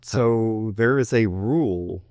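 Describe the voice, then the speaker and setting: Deep-voiced man in his fifties, rambling. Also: takes it slowly, darkish tone, dry recording. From United States, raised in Japan. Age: 50s. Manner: rambling with frequent restarts and self-interrupting asides. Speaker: martial arts teacher and guest. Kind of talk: relaxed interview talk.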